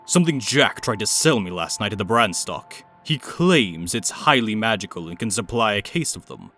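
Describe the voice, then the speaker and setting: A man playing a cold, commanding middle-aged villain in a comic dub, haughty and measured. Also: on the low side, expressive, sharp tone. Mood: smug, commanding. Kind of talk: dramatic comic dub acting. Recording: music behind the voice.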